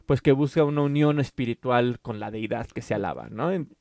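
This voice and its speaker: A man's voice: mid-range voice, a little fast, vocal fry, darkish tone. A man hosting a casual literary podcast, explaining at length with fillers and restarts. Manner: explanatory, many fillers and self-corrections. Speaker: podcast host.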